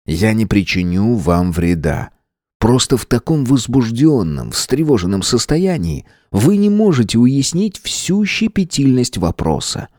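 A man reading aloud, voicing a calm, soothing male captor who speaks slowly and evenly. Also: lowish voice, dry recording, studio quality.

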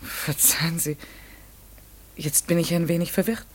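A courteous, inquisitive radio-play protagonist. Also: male voice, fairly high pitch, fairly even intonation, a little slow. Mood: puzzled, insistent. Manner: polite, careful, inquisitive, articulate. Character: curious, courteous, methodical man questioning a mysterious raven. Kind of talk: classic German radio play, narration and dialogue.

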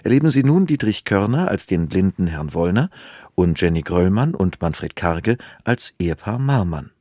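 Male announcer for scripted radio introductions: deep voice, dry close studio sound. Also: very animated, normal speed, a little mellow, dry recording.

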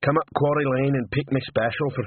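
A man voicing a huge, slow-witted troll doorman who is proud, easily cowed and halting over big words, in a storybook reading. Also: mid-range voice, steady tone, husky, narrow, tinny audio, pitched above the performer's own voice, lightly acted.

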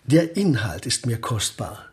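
Florid, courtly old gentleman collector. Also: mid-range voice, big pitch swings, a little slow. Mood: proud, ceremonious. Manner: gallant, florid, French phrases. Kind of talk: German radio play acting.

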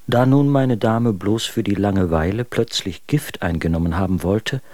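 A world-weary, bitter husband in a radio play, acted by a man, with a low voice. He reads his letters in measured, literary phrases, and the sound is dry and close. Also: darkish tone, no room sound, close to an everyday voice. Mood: despairing, disgusted. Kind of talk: radio drama reading of letters.

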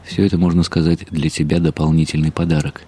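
A man's voice: extremely low pitch, little pitch movement, quick pace, mellow, breathy edge. An actor playing a weary, ironic husband who narrates his troubles in a literary audio play with wry, bitter resignation.

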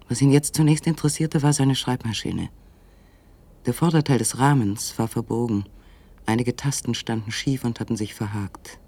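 A man narrating a literary suspense radio play in a measured, controlled manner. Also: mid-range voice, gravelly, a little mellow, slightly rough. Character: third-person narrator of a literary suspense story. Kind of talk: scripted radio drama narration.

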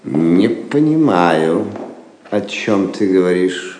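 An actor playing a shrewd, world-weary medieval adviser in a Russian audio play, deliberate and wry. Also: male voice, sits low, sing-song, darkish tone, full character voice. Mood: puzzled, wry. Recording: echoey room, faint noise.

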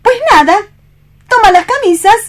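A woman's voice: very high voice, animated, moderate pace. An actress with a Spanish accent from Spain playing a bossy, flamboyant, seductive woman in a radio play reading. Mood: impatient, commanding. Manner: imperious, theatrical.

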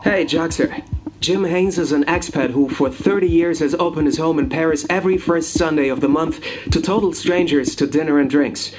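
An actor reading out quotes and posts as a scripted voice in a radio feature. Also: male voice, fairly high pitch, moderate pace, noisy background, close to an everyday voice. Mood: reciting.

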